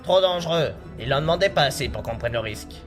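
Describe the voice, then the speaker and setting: A man's voice: on the high side, expressive, fast talker, lightly acted. A man playing a wary, practical gangster sidekick in a French audio drama, speaking curtly. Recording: music behind the voice.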